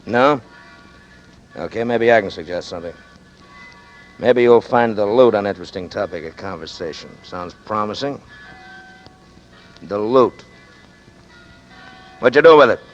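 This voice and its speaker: A sneering gangster talks low and slow, making his threats sound like friendly chat. The sound is dull and lo-fi, an old radio broadcast with music faintly underneath. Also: animated. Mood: menacing. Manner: drawling, mockingly friendly threats, calls the other man son. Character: cool menacing gangster holding a man at gunpoint. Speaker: gunman. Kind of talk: 1940s radio drama acting.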